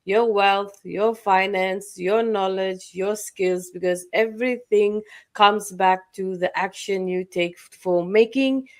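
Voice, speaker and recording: A woman hosts a motivational online talk in an earnest way. She repeats phrases for emphasis and lists points one by one. Her pitch sits in a middle range for a woman. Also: fairly even intonation, takes it slowly. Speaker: host.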